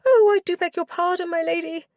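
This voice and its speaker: A woman's voice: very high-pitched, brisk, a little mellow, dry recording, clean studio sound, pitched above the performer's own voice. A woman reading a novel aloud, voicing a flustered, bustling widow who gushes with old-fashioned politeness. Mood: flustered gushing. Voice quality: fluttery, gushing. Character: flustered fussing older village widow.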